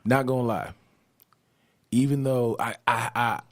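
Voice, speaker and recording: A man's voice: mid-range voice, fairly even intonation, measured pace, no echo, clean studio sound. A man in casual, joking podcast banter, slangy and sweary. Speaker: podcast panelist.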